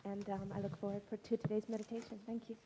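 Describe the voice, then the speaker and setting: A woman visiting from Berlin, introducing herself with halting restarts at a temple gathering. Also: medium pitch, level tone. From Germany. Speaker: visiting member. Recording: loud background noise.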